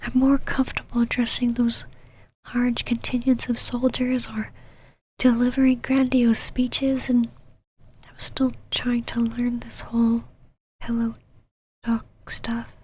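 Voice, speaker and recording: A possessive vampire knight mistress, played by a woman in an ASMR roleplay, speaking in a high, breathy whisper. She takes her time, haughty on the surface and halting underneath, with a secretly tender streak. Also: little pitch movement, poor audio. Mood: shy, vulnerable. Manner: haughty but flustered, halting, sighing. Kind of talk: intimate ASMR roleplay, close whispered acting.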